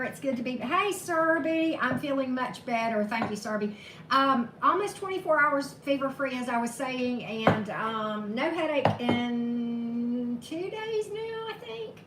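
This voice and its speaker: A woman tarot reader, slightly hoarse, chatting casually with live-stream viewers and greeting them by name. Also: high-pitched. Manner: chatty, greets viewers by name, laughs at own corny jokes. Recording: echoey room with steady background noise.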